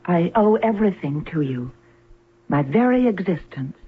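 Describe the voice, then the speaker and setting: An actress playing an obedient young female robot who poses as a devoted niece, polite and detached, with a husky voice in an old radio drama. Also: lowish voice, lively intonation, normal speed. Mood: dutiful, obedient. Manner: compliant, polite, emotionally detached. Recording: lo-fi, muffled old radio broadcast.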